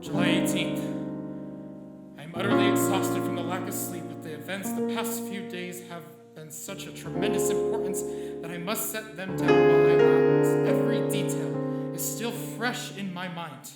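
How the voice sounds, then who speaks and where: A coldly zealous, middle-aged man of science played by a male stage actor declaims in old-time radio fashion. His proud, theatrical delivery rings in a roomy live space, with loud music behind him. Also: high-pitched, steady tone, moderate pace, close to an everyday voice. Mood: weary, excited.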